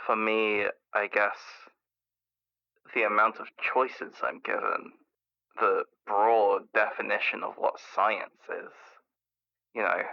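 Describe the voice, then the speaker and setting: Through a dull lo-fi phone filter comes a man playing an anxious male scientist calling into a radio drama. His lowish, gravelly voice rambles nervously and repeats itself, full of self-doubt. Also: level tone, takes it slowly. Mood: anxious, rambling. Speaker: radio caller. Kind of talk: improvised call-in radio acting. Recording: muffled lo-fi caller line.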